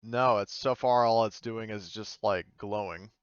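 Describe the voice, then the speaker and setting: A man's voice: lowish voice, a little slow, clean tone, no echo, muffled recording. A man running a tabletop roleplaying game, narrating and ruling in a casual, conversational way. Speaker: game master.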